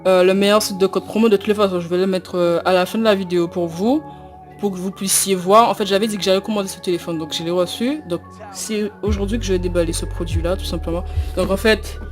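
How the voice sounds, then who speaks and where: A woman's voice: mid-range voice, steady tone, fast, faint music behind. A young woman from Guadeloupe, not a native French speaker, walking through a phone unboxing with many fillers and hesitations. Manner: hesitant, many fillers and repeated "tout simplement". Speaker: unboxing vlogger. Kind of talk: casual phone unboxing walkthrough.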